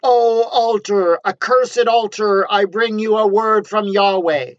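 A man voicing a wild-eyed young male prophet, fervent and shrill, who stammers when cornered. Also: slow, no room sound. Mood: ranting. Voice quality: shrill, screaming. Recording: dry, dull lo-fi recording.

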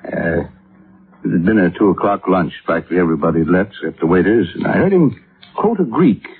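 An American actor playing a thoughtful, educated middle-aged physician in a vintage radio drama, speaking deliberately and reflectively. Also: male voice, low voice, lively intonation, husky, full character voice. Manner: deliberate, reflective, hesitant asides. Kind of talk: 1950s radio drama acting. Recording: muffled, phone-like vintage radio recording.

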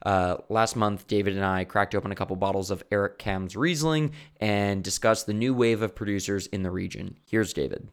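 A man's voice: fairly low pitch, normal speed, hardly any pauses. A man from the United States hosting a podcast, laid-back and slangy, leaning on "like" as he chats. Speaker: podcast host. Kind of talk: casual interview chat.

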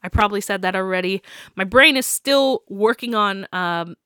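A woman's voice: mid-range voice, moderate pace, bright, forceful tone, clean tone, dry recording. A young woman hosting her own podcast, stuffy from a sinus infection, chatting casually with frequent laughs and ums. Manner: chatty, giggly, many ums. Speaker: podcast host. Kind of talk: casual solo podcast chat.

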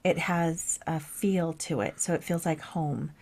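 A woman in casual, reflective conversation, thinking aloud in an expressive way, with Vietnamese as her first language. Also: low-pitched, unhurried.

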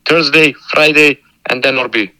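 Coach, male, bright tone, guarded and halting answers. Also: mid-range voice, steady tone. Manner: halting, repeats words, careful and guarded. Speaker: football coach. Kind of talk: phone interview.

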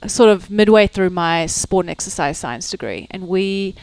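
A woman, a fitness coach guest talking casually at home in a halting way, with many fillers and trailing lists. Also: on the low side, animated, a little mellow, smooth, poor audio. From New Zealand. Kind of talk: casual interview chat.

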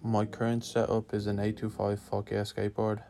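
Low gravelly young man from Lithuania. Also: completely flat delivery, moderate pace, dark tone, soft background music. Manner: laid-back, swearing, slangy. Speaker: guest skater answering a questionnaire. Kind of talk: casual spoken answers.

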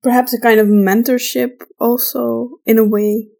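A woman's voice: a little high, little pitch movement, moderate pace, creaky, darkish tone. A woman speaking casually and unscripted as a podcast co-host. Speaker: podcast co-host reading listener questions.

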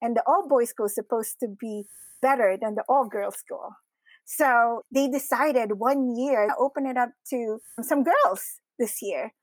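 Woman from the Philippines, high voice, close dry sound, personal interview storytelling. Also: measured pace, dry recording, poor audio. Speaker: interview guest, women's community founder.

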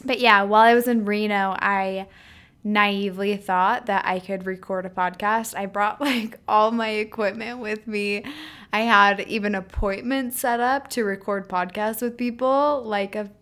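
A woman's voice: mid-range voice, fairly bright tone, smooth. A woman in her thirties hosting a solo podcast, chatting casually with lots of ums and likes, her voice a little nasal from a healing throat. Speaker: podcast host.

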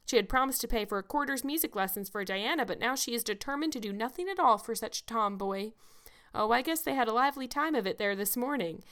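A woman's voice: fairly high pitch, animated, fast talker, fairly bright tone. Storybook role: older village busybody, played by a woman narrator, blunt, folksy, sure of her own judgment. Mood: gossipy, knowing. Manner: folksy, sage, scolding. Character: blunt, opinionated, gossipy older village matron.

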